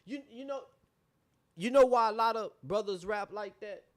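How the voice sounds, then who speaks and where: A man's voice: sits high, normal speed. A man with a Texas drawl talking casually on a podcast, often repeating and restarting his words and trailing off. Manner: animated, repeats himself and restarts, trailing off quietly. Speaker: podcast co-host. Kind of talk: casual chat.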